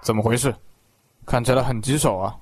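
A man's voice: mid-range voice, fairly quick, a little mellow, lightly acted. A man playing a stern, worried middle-aged father in a radio drama, speaking with curt authority. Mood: stern, concerned. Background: music.

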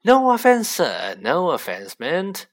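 A man's voice: sits high, huge pitch swings, a little slow, fairly bright tone, pitched above the performer's own voice, full character voice. A man voicing an oily, servile and sly shopkeeper with an obsequious, deferential manner in a storybook reading. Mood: fawning. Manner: obsequious, eager to please, repeatedly deferential.

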